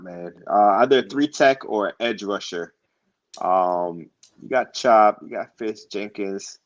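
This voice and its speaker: Low-voiced man in a laid-back mock draft. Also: highs cut off. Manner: laid-back, hesitant, thinking aloud. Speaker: draft show co-host. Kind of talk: casual mock draft chat.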